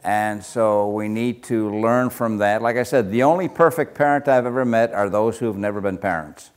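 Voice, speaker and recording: Soft-spoken older man leading Bible study. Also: lowish voice, normal speed, clean tone. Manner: soft-spoken, folksy, chuckles often. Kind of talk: casual Bible class teaching.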